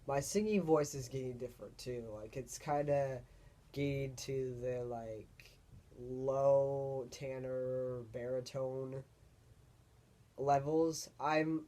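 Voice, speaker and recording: A young man with a deep voice, talking casually to camera in a rambling, hesitant way as he searches for words. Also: mellow. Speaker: vlogger. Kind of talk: casual personal vlog monologue.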